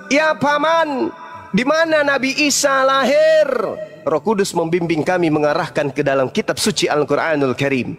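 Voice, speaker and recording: A man debating religion as a preacher, pressing with rhetorical questions and swinging from low murmurs to loud emphasis.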